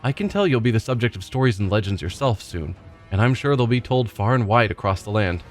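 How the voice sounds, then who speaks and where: A man's voice: on the low side, expressive, fairly quick, soft background music, natural voice. Man reading as a bitter wounded werewolf. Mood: wry. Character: bitter wounded male werewolf loner, wry.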